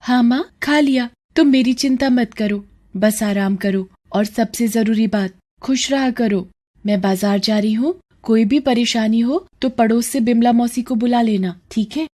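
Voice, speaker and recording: A woman voicing a responsible, caring young daughter in a story, speaking in a gentle, comforting way. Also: fairly high pitch, a little slow, voice pushed up.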